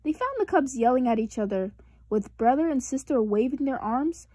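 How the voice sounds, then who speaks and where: A woman reading aloud as a children's storybook narrator, expressive and with deliberate emphasis. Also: sits high, slightly rough, no echo, studio quality. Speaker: storybook reader.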